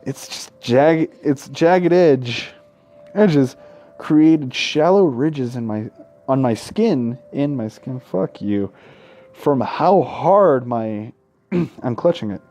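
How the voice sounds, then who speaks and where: A young man hosting a casual Let's Play, talking in a halting way and stumbling over his words. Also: mid-range voice, animated, dark tone. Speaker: gaming video host.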